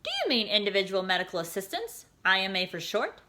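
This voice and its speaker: A woman's voice: medium pitch, big pitch swings, fast, pushed and bright, slight background noise. A woman voicing a chirpy, polite handheld AI device that speaks formally.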